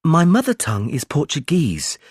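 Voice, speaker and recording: Man, husky voice, very lively pitch, expressive, scripted exam answer, dry sound. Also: moderate pace, dry recording. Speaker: exam candidate in sample answer.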